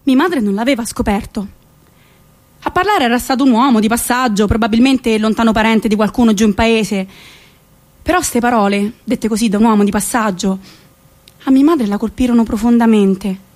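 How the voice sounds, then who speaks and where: A woman with a Roman, central Italian accent, telling a story theatrically in an expressive, dramatic manner. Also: a little high, normal speed. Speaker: stage actress narrating a monologue. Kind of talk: theatrical storytelling, solo radio play.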